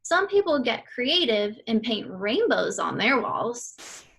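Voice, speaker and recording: Slow, high-pitched woman reading aloud. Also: big pitch swings, vocal fry, fairly bright tone, husky. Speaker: read-aloud reader for children. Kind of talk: children's educational read-aloud.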